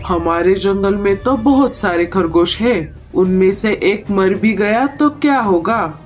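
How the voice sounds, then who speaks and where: A woman's voice: mid-range voice, animated, takes it slowly, a little mellow, faint music behind. A woman voicing an indulgent mother bird queen in a cartoon story, coaxing and protective. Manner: coaxing, protective of her child. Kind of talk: Hindi cartoon story acting.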